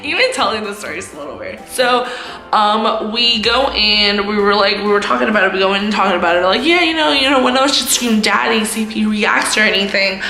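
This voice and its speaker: A woman in her twenties telling a casual story to camera as a vlogger, with a loud voice and a giggly, self-mocking manner. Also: mid-range voice, brisk, reverberant, soft background music, rough recording. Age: 20s. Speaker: vlogger, host of own channel.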